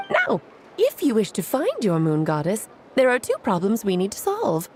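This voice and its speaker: A woman's voice: mid-range voice, creaky, a little mellow, slight background noise. An actress playing a playful, self-assured, motherly great mage in a video game, breezy and theatrical, given to lecturing. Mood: breezy, boastful. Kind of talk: scripted video game character dialogue.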